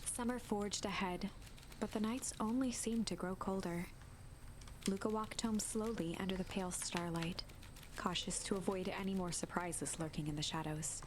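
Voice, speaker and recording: A woman's voice: medium pitch, steady tone, normal speed, noisy background. A woman reading a video game's story aloud as dramatic storybook narration. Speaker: video game let's player and narrator. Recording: music clearly behind the voice.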